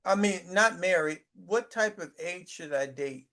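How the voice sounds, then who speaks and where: A man's voice: sits high, expressive, unhurried, sharp tone. A man in his sixties in casual chat, boasting, repeating himself and stumbling over his words. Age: 60s.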